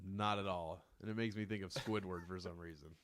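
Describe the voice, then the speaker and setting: An American man hosting a podcast, telling things in a joking, animated way with casual banter. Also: low voice, fairly quick. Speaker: podcast host.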